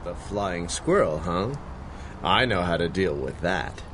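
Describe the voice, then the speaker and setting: An actor playing a slippery, theatrical shapeshifting trickster villain in a 1930s-style radio adventure. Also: male voice, sits low, lively intonation, measured pace, fairly bright tone, slight background noise. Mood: sly, gloating. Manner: sly, theatrical.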